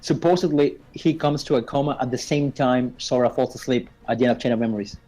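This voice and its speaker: A man's voice: medium pitch, quick pace, warm tone, poor audio. A man casually explaining game lore as a guest, stammering and repeating words as he goes. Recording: faint music behind the voice.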